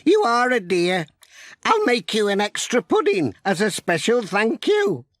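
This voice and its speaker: A woman's voice: a little high, huge pitch swings, measured pace, dry recording, clean studio sound, full character voice. A woman voicing a delighted, affectionate old village granny in a children's storybook.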